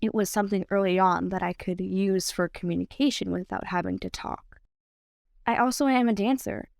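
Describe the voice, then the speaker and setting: A woman's voice: medium pitch, normal speed, creaky, darkish tone, no echo, clean studio sound. A seventeen-year-old girl from Ohio with a mild stutter, telling her own story in a candid, reflective way. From Columbus, Ohio, United States. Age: teen, 17. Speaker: student storyteller. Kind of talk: personal narrated story.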